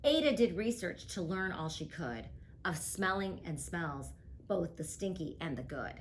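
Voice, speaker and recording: Slow, expressive woman reading a rhyming book. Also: lowish voice, a little hiss or hum. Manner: rhythmic, expressive rhyming delivery. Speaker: guest reader. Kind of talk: picture book read-aloud.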